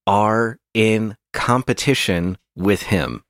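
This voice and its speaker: A man's voice: on the low side, takes it slowly, a bit bright, dry recording. A man co-hosting a podcast, trading dry jokes in casual banter. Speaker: podcast co-host.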